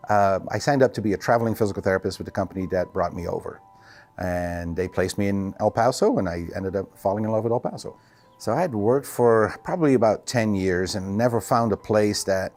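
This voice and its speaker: A man's voice: on the low side, fairly quick, darkish tone, soft background music. A middle-aged man from the Netherlands with a Dutch accent, a native Dutch speaker, casually answering interview questions as a physical therapist and clinic owner.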